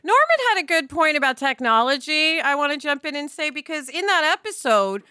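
A woman chatting casually as a podcast panelist, candid, playful and expressive. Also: high voice, brisk, no echo, studio quality. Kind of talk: casual chat.

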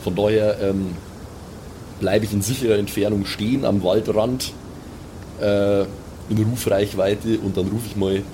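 A man's voice: fairly low pitch, steady tone, measured pace, pauses often, warm tone, slight background noise. A man, improvising casually as a player in a tabletop role-playing game. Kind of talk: casual improvised in-character play and table talk.

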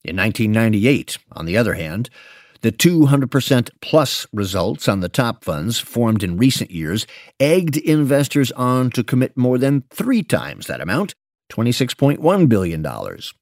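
Male narrator for written business commentary; articulate and emphatic, expressive intonation, very dry close recording. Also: medium pitch, normal speed, no echo. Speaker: narrator reading an investment memo. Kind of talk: scripted narration, audiobook-like reading.

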